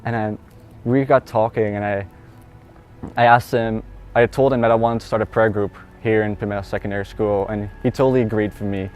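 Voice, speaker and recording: Dutch-accented teenage boy, flat low voice. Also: brisk, muffled recording, faint music behind. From Netherlands. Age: teens. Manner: earnest, conversational with fillers. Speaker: student. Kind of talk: personal testimony.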